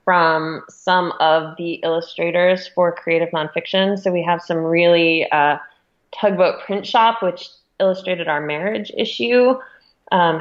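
Low-voiced woman, slightly muffled recording. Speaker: magazine editor being interviewed. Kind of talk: casual interview conversation.

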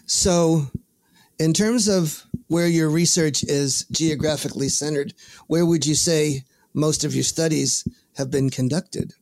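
A man hosting a podcast interview, asking questions in measured, formal phrasing with small stammers. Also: on the high side, fairly even intonation, a little mellow. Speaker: podcast host. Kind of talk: interviewing a guest.

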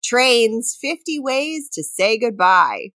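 A woman's voice: a little high, sing-song, takes it slowly, a bit bright, dry recording. A woman co-hosting a podcast in a casual, playful, joking chat style. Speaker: podcast co-host and game host.

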